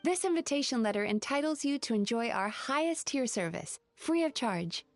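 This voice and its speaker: A woman's voice: high voice, lively intonation, normal speed, no room sound, soft background music. A woman voicing a polite, earnest young female dream-eating spirit in a video game. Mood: grateful, friendly.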